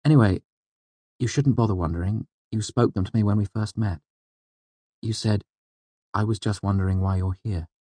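A man voicing a teasing, gentle yet guarded young woman in audiobook dialogue, speaking softly. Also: on the low side, normal speed, pauses often, slightly rough, no echo, pitched above the performer's own voice. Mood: gentle, flippant. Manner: soft, teasing.

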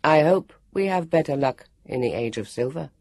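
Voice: mid-range voice, animated, normal speed, darkish tone, no echo, studio quality, lightly acted. The narrator voicing a formal, solemn grown man who speaks stiffly and precisely.

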